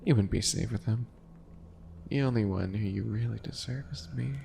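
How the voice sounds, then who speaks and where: A man playing a calculating, obsessive potion-maker in an ASMR roleplay, with a deliberate, mocking, theatrical delivery. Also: on the low side, gravelly, mellow, slight background noise. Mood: sinister, coaxing.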